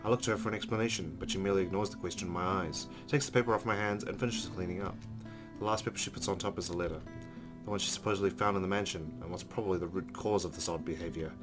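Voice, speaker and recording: Low-voiced man narrating over guitar music. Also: fairly even intonation, very fast. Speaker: let's play host. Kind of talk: reading visual novel narration aloud. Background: background music with guitar.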